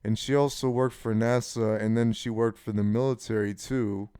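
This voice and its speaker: A man in his twenties with a deep voice, rambling frankly and casually in a personal monologue, swearing now and then. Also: fairly even intonation, normal speed, dark tone, clean tone, dry recording, clean studio sound. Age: 20s. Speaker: channel host.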